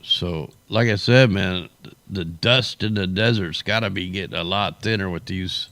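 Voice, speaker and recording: A man's voice: deep voice, measured pace, fairly bright tone, no room sound. A tired man with a worn-out voice hosting a livestream, giving rambling, slangy, off-the-cuff commentary. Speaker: livestream host. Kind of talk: casual off-the-cuff weather commentary.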